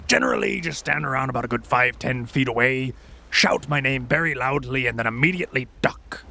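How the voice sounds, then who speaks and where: A man voicing a raspy, blunt male whaling ship captain who talks plainly with old-fashioned phrasing. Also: mid-range voice, lively intonation, fairly quick, sharp tone. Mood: gruff.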